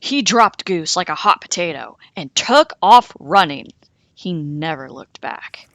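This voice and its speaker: A woman reading a children's story aloud in a dramatic, animated way with deliberate pauses. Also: fairly low pitch, no echo.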